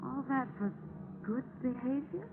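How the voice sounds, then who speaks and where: A woman's voice: high-pitched, lively intonation, measured pace, narrow, tinny audio. An actress playing a cool, flirtatious, secretive woman stowaway in a 1940s radio drama. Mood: coy, cool. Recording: thin, noisy 1949 radio recording.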